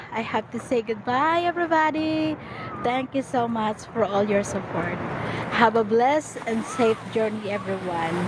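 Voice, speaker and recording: A woman from the Philippines with a Filipino accent, a native Tagalog speaker, chatting casually as a live-stream host in an effusively thankful, halting way. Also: a little high. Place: outdoors at a scenic spot. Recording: noisy outdoor live stream, traffic ambience.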